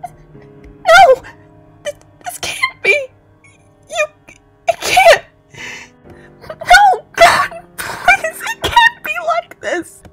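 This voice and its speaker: Woman doing a scared trapped girl, super high, swoopy, begging and cursing. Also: sing-song, drawn-out words, pauses often, loud music behind the voice, heavily acted, poor audio. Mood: pleading. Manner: desperate, pleading, swearing. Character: terrified trapped young woman, defiant. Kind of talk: fan comic dub.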